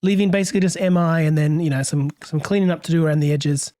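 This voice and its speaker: A hoarse man, a little under the weather, casually musing aloud as he narrates his game session and chuckling often. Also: on the high side, fast talker, warm tone, dry recording, clean studio sound. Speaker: let's play host. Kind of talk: gameplay commentary.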